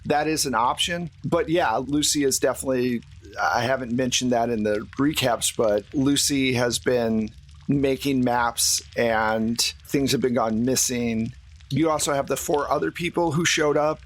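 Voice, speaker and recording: A man's voice: medium pitch, a little slow. A man running a tabletop game as game master, talking casually with a joking, teasing manner.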